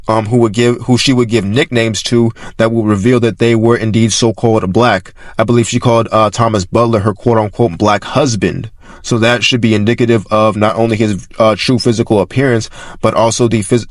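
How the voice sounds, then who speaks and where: A man's voice: on the low side, no echo, studio quality. A man narrating in an informal explanatory style, reading records aloud and often repeating and rephrasing himself as he goes. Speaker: narrator presenting archive records.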